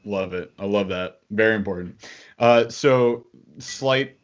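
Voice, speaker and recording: A man hosting a casual livestream podcast, enthusiastic and quick to laugh, reading out viewer super chats over a call. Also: fairly low pitch, dull audio. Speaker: podcast host.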